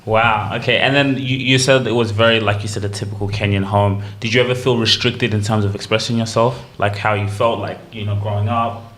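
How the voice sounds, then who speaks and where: A man hosting a podcast interview, asking halting questions and encouraging his guest with frequent affirmations. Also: on the low side, little pitch movement, fairly bright tone. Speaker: podcast host. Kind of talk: casual interview.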